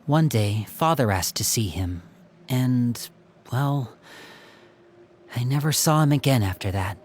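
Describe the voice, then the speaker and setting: Game role: actor as earnest young sibling, measured, middle pitch, faint ambient noise. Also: lively intonation, a little mellow, natural voice. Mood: reflective. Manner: measured, storytelling. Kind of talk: video game voice acting.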